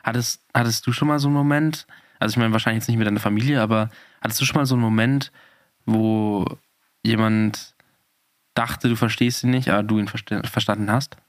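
A man's voice: fairly low pitch, fairly even intonation, brisk, no echo, studio quality. A man co-hosting a podcast, chatting casually with a jokey, playful manner and frequent laughter. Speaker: podcast co-host. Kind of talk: casual chat.

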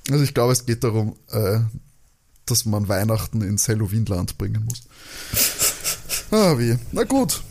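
A man chatting casually as a podcast co-host, joking and rambling as he thinks out loud. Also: on the low side, lively intonation, moderate pace, vocal fry, long pauses, noisy background. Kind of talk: casual chat, guessing along with an audio drama.

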